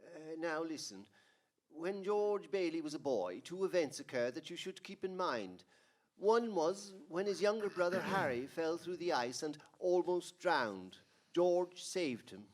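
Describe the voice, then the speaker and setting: A man's voice: a little high, lively intonation, measured pace. A man playing a benevolent, authoritative head angel in a radio drama, instructing and storytelling in an avuncular way. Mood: reminiscing. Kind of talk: old-time radio drama acting.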